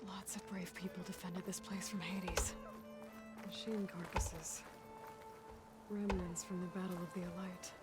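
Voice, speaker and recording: A woman playing a resolute, weary young warrior heroine in a video game, reflective and low-key. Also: level tone, unhurried, long pauses, natural voice. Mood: brooding. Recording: loud game music over the voice.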